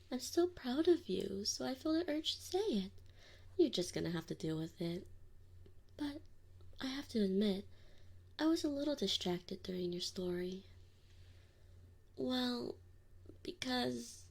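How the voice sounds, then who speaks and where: Woman playing a husky, doting wolf mother. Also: mid-range voice. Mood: fussing, fond. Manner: soft, slow, often whispered. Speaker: ASMR roleplay performer. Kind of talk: ASMR roleplay. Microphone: binaural mic.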